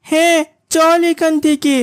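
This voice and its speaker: A woman's voice: extremely high pitch, level tone, unhurried, heavy background noise. A woman voicing a haughty, hostile village schoolgirl in a cartoon, snippy and accusing. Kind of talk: cartoon character dialogue.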